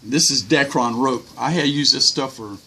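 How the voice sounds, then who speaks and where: A man's voice: medium pitch, normal speed, bright, forceful tone, husky. An older man giving casual, unscripted hobby tips in a folksy, plain-spoken, rambling way. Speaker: hobbyist video host.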